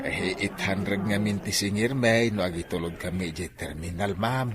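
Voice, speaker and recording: An actor with an Ilocano accent playing a polite, bargaining airport taxi driver in a comedy drama. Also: male voice, low voice, normal speed, crowd noise, lightly acted. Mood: persuasive.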